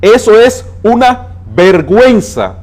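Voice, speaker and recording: A man with a Dominican accent, a transport adviser on a TV interview, laying out plans with numbers and slowing down to stress his points. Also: high voice, very animated, a little mellow. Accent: Dominican Spanish. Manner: emphatic, explains with figures, slows down to stress points. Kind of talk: interview on a TV talk show.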